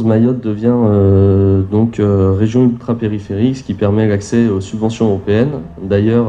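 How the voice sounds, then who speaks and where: A man giving a formal conference presentation with slides as a public agency representative, speaking haltingly with frequent hesitations and repeated words. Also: on the low side, dark tone, a little hiss or hum.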